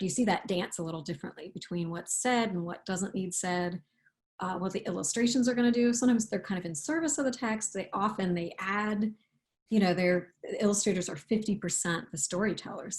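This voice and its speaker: A woman speaking as an author in a casual interview, thoughtful and halting, often restarting and rewording her sentences. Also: on the low side. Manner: halting, thoughtful, with repeated self-corrections. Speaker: picture book author being interviewed.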